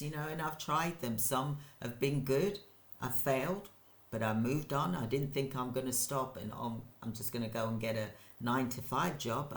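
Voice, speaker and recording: An older woman, hosting her own channel and giving an energetic, rambling motivational talk to camera, repeating points for emphasis. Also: a bass voice. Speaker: channel host, business owner. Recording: roomy echo.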